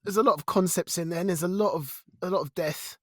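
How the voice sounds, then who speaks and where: A man chatting casually as a podcast co-host, animated and often repeating short phrases. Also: sits high, fast, no echo, studio quality. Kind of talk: casual chat.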